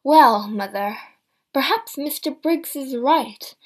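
A grown son gently coaxes his aging mother, calm and reasonable, read by a woman in a storybook. The voice stays fairly high and the pace slow. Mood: coaxing.

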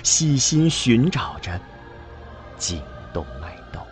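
A man narrating a scripted radio drama in an emotive, deliberate storytelling manner. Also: mid-range voice, big pitch swings, soft background music.

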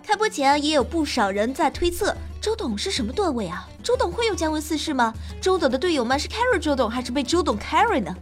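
A woman's voice: sits high, sing-song, fast talker, hardly any pauses. A woman host reading scripted gaming narration in a playful, dramatic storytelling manner. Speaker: game show host and streamer. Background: background music.

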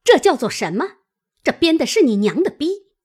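A woman's voice: sing-song, a little slow, heavily acted. A woman voicing a coarse, bitter servant mother in a storytelling reading, scolding harshly. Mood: furious cursing. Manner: cursing, shrill scolding. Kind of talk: dramatised classic novel storytelling.

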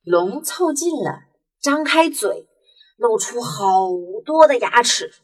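Expressive high-voiced woman storyteller. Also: slow pace, husky. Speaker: narrator. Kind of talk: children's story narration. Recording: music behind the voice.

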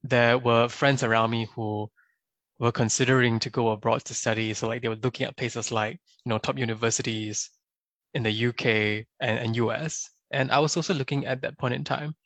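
A young man from Singapore, answering interview questions in a thoughtful, measured way and often tagging on "right?". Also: medium pitch, steady tone, fairly bright tone, dry recording. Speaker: podcast guest.

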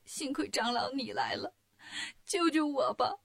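A woman's voice: sits high, normal speed. A woman voicing a scheming female demon who poses as a pitiful captive girl, plaintive and pleading, in a story.

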